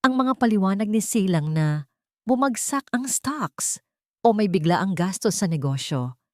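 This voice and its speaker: A woman reads a scripted love story as narrator, in a measured, dramatic storytelling manner. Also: medium pitch, expressive, dry recording, clean studio sound.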